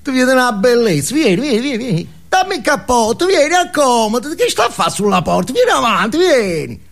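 An actor playing a boastful, crude, foul-mouthed drug dealer in a comic stage monologue, rambling and jumping between confiding and shouting. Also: male voice, very high voice, sing-song, fairly quick, creaky, exaggerated voice. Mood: effusive, teasing.

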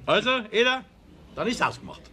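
A man's voice: high-pitched, wildly swooping pitch, brisk, sharp tone, heavily acted. An actor playing a jovial, teasing craftsman with a Bavarian accent in a radio play, hearty and persuasive. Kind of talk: 1970s radio play acting.

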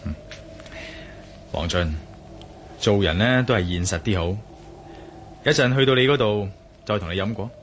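A man's voice: lowish voice, moderate pace, pauses often, a little hiss or hum, lightly acted. A man acting as a worldly, teasing adventurer in a radio drama, with a Hong Kong Cantonese accent and an emphatic, bantering delivery. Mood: serious, firm. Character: worldly, teasing adventurer, urgent when crossed.

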